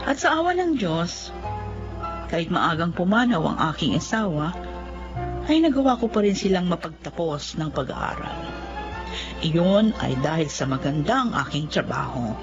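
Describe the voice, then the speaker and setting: A woman's voice: fairly low pitch, big pitch swings, normal speed. An actress playing a proud widowed working mother, narrating her life expressively in a radio drama. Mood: reminiscing. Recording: muffled, music clearly underneath.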